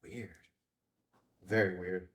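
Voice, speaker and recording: An actor playing a terse, guarded investigator with a dry edge in a screenplay table read. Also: male voice, sits low, flat delivery, takes it slowly, far from the mic. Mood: curious, wry.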